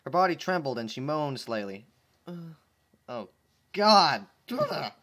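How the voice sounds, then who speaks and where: A man's voice: fairly high pitch, sing-song, slow pace, a bit bright. A man reading fan fiction aloud at home, breaking off for sarcastic, exasperated commentary. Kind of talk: dramatic reading with comic asides.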